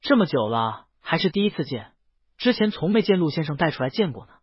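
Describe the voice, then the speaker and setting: A man's voice: on the high side, very animated, brisk, breathy edge, no room sound, muffled recording. A man voicing a smarmy businessman in his forties in an audio story, falsely polite and insinuating. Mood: smug, probing.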